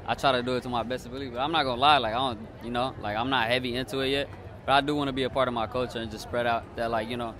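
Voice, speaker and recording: A man's voice: mid-range voice, background noise. A young man from Eritrea answering casually and a little rambling at an outdoor festival. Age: young adult. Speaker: interviewee. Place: outdoor festival grounds at night.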